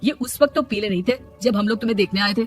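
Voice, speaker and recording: A woman's voice: medium pitch, fast talker, soft background music, lightly acted. A woman voicing a nagging middle-aged mother-in-law in a cartoon, taunting and scolding. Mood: disgusted. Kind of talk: cartoon dub acting.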